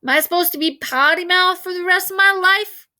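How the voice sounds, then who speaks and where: A woman's voice: extremely high pitch, fairly quick, bright, forceful tone, pitched above the performer's own voice, full character voice. A woman reading a storybook, playing cheeky, curious young schoolboys who ask questions with mild indignation. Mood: indignant, cheeky. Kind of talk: storybook read-aloud character voice.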